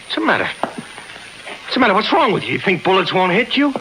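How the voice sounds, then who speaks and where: A man's voice: high voice, very animated, fast, pushed and bright, exaggerated voice. An American man playing a surly, jumpy gangster henchman in a 1950s radio crime drama, talking in tough, terse slang. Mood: threatening, panicky. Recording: noisy old 1950s radio broadcast.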